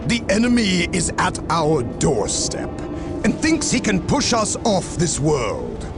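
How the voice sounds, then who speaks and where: An actor playing a stern, zealous space marine captain in a video game, speaking in grand, commanding oratory. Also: male voice, high-pitched, huge pitch swings, takes it slowly, vocal fry, over loud music, heavily acted. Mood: grim resolve. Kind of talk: video game cutscene battle speech.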